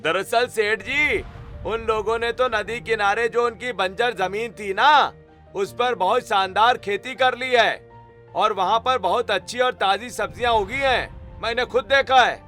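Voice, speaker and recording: A man's voice: high-pitched, unhurried, sharp tone, faint music behind, pitched above the performer's own voice. A man voicing a landlord's obedient henchman in a cartoon story, deferential and emphatic. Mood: eager reporting. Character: landlord's obedient male henchman, reporting eagerly. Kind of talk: Hindi moral-story cartoon voice acting.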